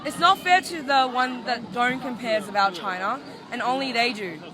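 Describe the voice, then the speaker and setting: A man with a very high voice gives curt answers in halting English. He stands outdoors with a loud crowd behind him. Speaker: interviewee. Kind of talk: street interview. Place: outdoors on a city street.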